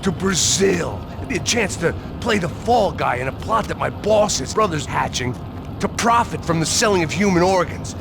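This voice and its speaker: Gravelly, sarcastic burnt-out American vigilante. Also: male voice, high-pitched, lively intonation, normal speed. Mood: sardonic, weary. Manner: sardonic, profane. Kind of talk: cinematic game cutscene acting. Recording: music behind the voice.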